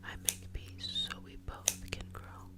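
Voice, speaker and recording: Woman, whispered voice, slow pace, ASMR reiki healer, guided meditation, soothing, repetitive, gently encouraging.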